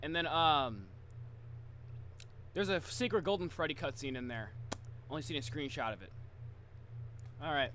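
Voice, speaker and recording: A man's voice: on the high side, lively intonation, normal speed, pauses often, sharp tone, slight background noise. A man hosting a casual livestream reaction, talking informally and often interrupting himself. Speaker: reaction video host, streamer.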